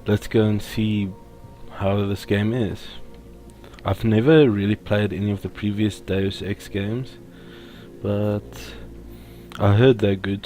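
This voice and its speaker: Slow, low-voiced gamer man. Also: a little mellow. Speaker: let's play host. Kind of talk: casual gameplay commentary.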